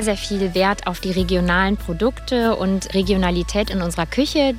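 A middle-aged woman from the Rhineland, explaining things as an interview guest in the hospitality trade. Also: mid-range voice. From Rhineland, Germany. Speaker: hotelier and restaurant host, interview guest.

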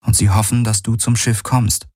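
Man voicing a low, gruff, weary adventurer. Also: flat delivery, moderate pace, darkish tone, dry recording. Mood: reasoning. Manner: blunt, dry, swearing. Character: gruff, weary, sardonic male space adventurer Galen. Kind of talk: dramatised audiobook dialogue.

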